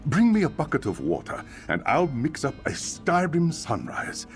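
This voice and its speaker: A man's voice: on the high side, wildly swooping pitch, moderate pace, faint music behind. A man playing a nervous, chatty innkeeper in a fantasy game, with a flustered, hesitant way of talking. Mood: uneasy, chatty. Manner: stammering, nervous hesitations and sighs.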